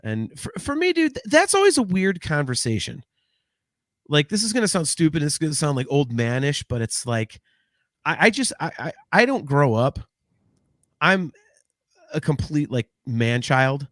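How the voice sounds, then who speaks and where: A man's voice: fairly high pitch, sing-song, moderate pace, fairly bright tone, dry recording. Man, 40s, podcast co-host, rambling, swears, restarts a lot, dry close sound. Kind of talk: casual podcast chat.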